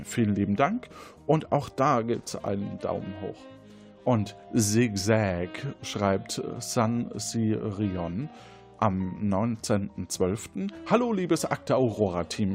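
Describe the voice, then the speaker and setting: Man hosting over guitar music. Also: fairly low pitch. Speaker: podcast host. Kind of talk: casual chat, reading out listener reviews.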